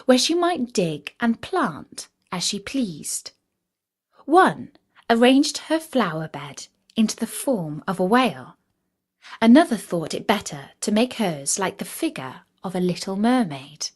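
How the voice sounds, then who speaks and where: Slow, very expressive woman storyteller. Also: medium pitch. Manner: expressive, measured storybook reading. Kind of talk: scripted fairytale narration.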